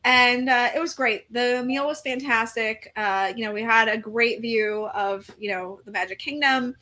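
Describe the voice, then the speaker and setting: A woman's voice: a little high, moderate pace, sharp tone. A woman in her forties, a podcast co-host, telling a story in casual chat with lots of fillers and restarts. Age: 40s. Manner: rambling storytelling with many fillers.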